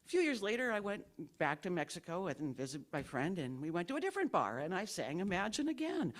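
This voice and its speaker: A woman with a low voice sharing a rambling, self-deprecating personal story at a church service, in a packed room. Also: big pitch swings, brisk. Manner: chatty, rambling, self-deprecating, laughs while telling the story. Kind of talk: informal testimony at a church service.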